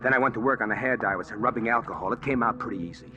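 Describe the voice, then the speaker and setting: A man's voice: mid-range voice, racing, highs cut off, lightly acted. An actor playing a brooding, deceived man who poses as a bashful Texan, in old-time radio drama style. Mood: brooding, haunted. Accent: Texan drawl. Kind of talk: old-time radio drama acting with first-person narration. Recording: vintage radio drama with faint music.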